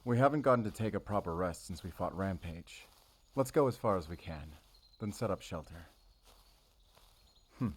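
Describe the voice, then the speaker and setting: Man playing a deep-voiced, brooding werewolf hunter. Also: lively intonation, breathy edge. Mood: weary, resolute. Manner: halting, measured, solemn, intimate address to listener. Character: brooding, guarded male werewolf hunter, devoted to duty. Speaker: voice actor in an audio roleplay. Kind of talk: cinematic audio roleplay acting.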